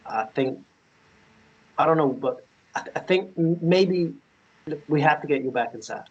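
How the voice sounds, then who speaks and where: An actor playing a shy, apologetic, gentle young man in a romance table read, stammering and halting as he speaks. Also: fairly high pitch, husky, muffled recording. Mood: worried.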